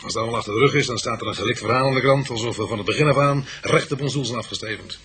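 An actor playing a brooding, grumbling police detective in a radio drama, musing and repeating himself for emphasis. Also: male voice, mid-range voice, rapid-fire, background noise, natural voice. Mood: insistent. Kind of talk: Dutch radio drama acting.

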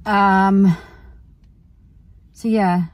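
A woman's voice: mid-range voice, level tone, drawn-out words, dry recording, distant mic. A woman in her forties with a British accent chats casually to camera from a parked car, rambling and searching for words. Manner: rambling, word-searching, self-correcting. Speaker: family vlogger.

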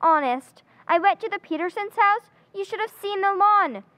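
A performer voicing a bossy, sharp-tongued teenage girl in a 1940s-style radio comedy, blunt and scolding. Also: extremely high pitch, lively intonation, moderate pace.

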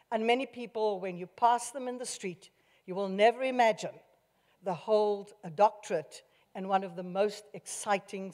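A South African woman in her sixties, a mayor giving a loud, forthright, jovial vote of thanks at a podium microphone. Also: medium pitch. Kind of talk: formal public speech. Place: large marquee tent at an outdoor event. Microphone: podium microphone on a stand. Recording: reverberant live event sound.

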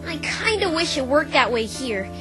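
Girl doing a high voiced cocky pegasus pony, young n brash, casual cartoon game dub, music under it. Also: big pitch swings, normal speed. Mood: casual, explaining. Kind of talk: fan dub voice acting of a visual novel.

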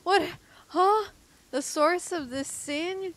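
A young woman from the Philippines giving casual, slangy, expressive reaction commentary over a video game. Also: extremely high pitch. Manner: expressive, slangy, drawn-out exclamations. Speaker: gameplay YouTuber.

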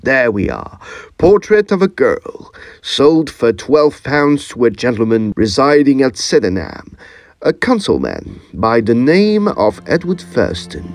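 Audio drama role: man as ponderous old-world dealer, very slow and halting, hugely swooping intonation. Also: fairly high pitch, vocal fry, no room sound, heavily acted. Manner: halting, musing.

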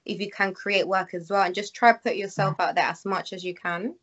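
A woman's voice: medium pitch, steady tone, fairly quick, a bit bright. Chatty Venezuelan-born woman. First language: Spanish. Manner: chatty, lots of "like". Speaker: guest, actress and model. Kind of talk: casual interview chat. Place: small room at home. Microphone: video call. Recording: muffled video call audio.

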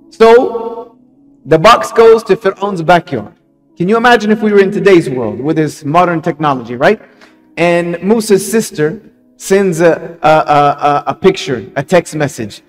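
High-voiced man preaching an animated sermon. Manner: animated, repeats phrases for emphasis, asks the audience questions. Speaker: preacher giving a Friday sermon. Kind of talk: sermon with storytelling.

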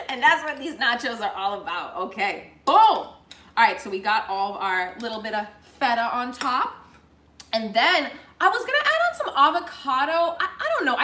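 A woman's voice: mid-range voice, sharp tone. Bubbly woman cooking in an echoey kitchen. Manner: bubbly, chatty, calls viewers y'all. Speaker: cooking show host. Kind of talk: live cooking demo, casual chat. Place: home kitchen, indoors. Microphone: fixed camera at counter distance.

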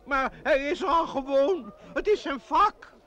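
An actor playing a pompous, stubborn village mayor with a hesitant manner in a radio play. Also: male voice, very high-pitched, lively intonation, measured pace, pushed and bright, highs cut off, exaggerated voice. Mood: pompous, ceremonious.

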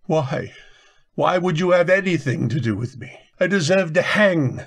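An actor playing a guilt-ridden, anguished husband in a radio drama, speaking haltingly as emotion breaks through. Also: male voice, a little high, expressive. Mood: despairing. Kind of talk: old-time radio drama acting.

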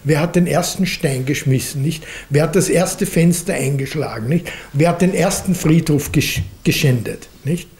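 A man in his seventies with an Austrian accent, answering questions as a lecturer in a deliberate way, often adding questioning tags. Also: fairly high pitch, vocal fry, a little mellow. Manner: deliberate, hedging, often adds a questioning "nicht?". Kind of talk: unscripted answer after a lecture. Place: library lecture room, at a lectern. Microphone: lectern microphone.

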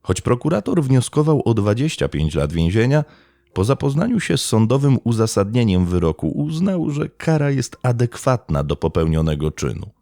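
A man narrates true crime from a script. His voice is gravelly and moves through lively rises and falls as he tells the story in a measured, dramatic way. The sound is close and very dry. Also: mid-range voice, no room sound.

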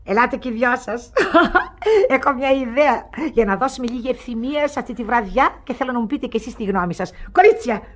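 High-voiced, world-weary old grande dame. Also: female voice, expressive. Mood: commanding, playful. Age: older. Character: rich, bored, lonely old aunt, wry and commanding. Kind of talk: theatrical radio play acting.

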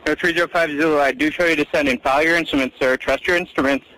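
A man's voice: a little high, steady tone, fast talker. A man in his thirties working as an air traffic controller, speaking over aviation radio with hesitant, reassuring phrasing. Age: 30s.

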